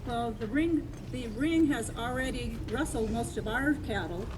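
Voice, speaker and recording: A woman's voice: a little high, unhurried, darkish tone, natural voice. A woman playing a fearful rancher's wife in an outdoor Wild West reenactment, earnest and pleading. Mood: anxious. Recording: noisy outdoor recording.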